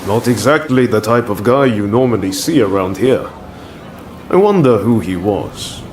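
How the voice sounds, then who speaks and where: A man's voice: animated, moderate pace, a little mellow, natural voice. An actor playing a reluctant but loyal, wry swordsman in a video game, with noise behind him. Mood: curious. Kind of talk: video game cutscene acting. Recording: clear ambient and rumbling background noise.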